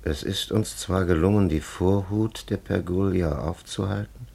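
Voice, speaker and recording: An actor in a radio drama playing a solemn, grandiose old warrior grandfather, with a raspy voice and archaic, ponderous oratory. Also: low-pitched, measured pace, mellow, heavily acted. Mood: grave, weary. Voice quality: gravelly, raspy.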